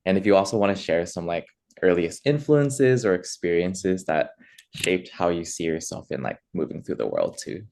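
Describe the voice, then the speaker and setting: A low male-sounding voice hosting a conference conversation in an earnest, measured way. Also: lively intonation, creaky, a little mellow. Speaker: conference host and interviewer. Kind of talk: facilitated plenary conversation, opening remarks.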